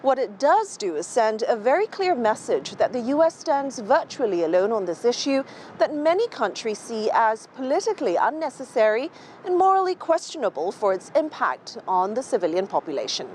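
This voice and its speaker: A woman news correspondent delivering formal, measured broadcast reports. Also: a little high, very animated, darkish tone, a little hiss or hum.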